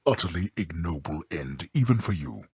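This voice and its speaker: A man playing a stern, scornful god-king of the underworld in a video game, speaking in a formal, haughty, measured way with a gravelly, husky voice. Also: deep voice, lively intonation, darkish tone, no echo, studio quality, exaggerated voice. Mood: scolding. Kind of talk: theatrical video game character dialogue.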